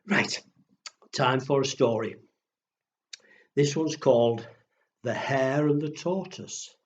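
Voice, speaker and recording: Slow, deliberate man telling stories to children. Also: medium pitch, muffled recording, slight background noise. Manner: slow, deliberate, addressing small children with questions. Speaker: children's storyteller. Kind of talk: storytime for pre-school children, simple narration and rhymes.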